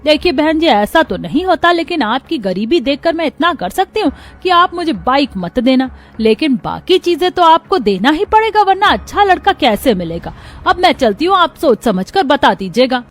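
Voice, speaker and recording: A woman's voice: high voice, big pitch swings, moderate pace, pitched above the performer's own voice. A woman putting on a pushy, greedy groom's mother. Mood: haughty. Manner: demanding, coaxing.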